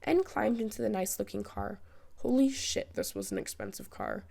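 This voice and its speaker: A woman reading fan fiction as a narrator, in an expressive, wry storytelling style. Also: mid-range voice, normal speed, gravelly. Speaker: podfic narrator.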